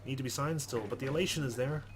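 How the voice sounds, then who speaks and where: A man's voice: medium pitch, quick pace. A man reading a visual novel aloud as a let's play host, slipping in his own asides.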